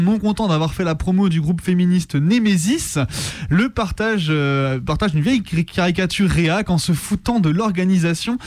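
A man's voice: sits high, darkish tone, no echo. A man with a French accent co-hosting a radio show in an informal, slangy way. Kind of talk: informal radio commentary and presenting. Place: radio studio.

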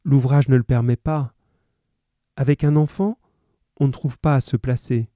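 A man's voice: mid-range voice, steady tone, moderate pace, warm tone, no room sound, studio quality, voice pushed up, natural voice. A man voicing an anxious, pleading poor young mother, hesitant and earnest, in an audiobook.

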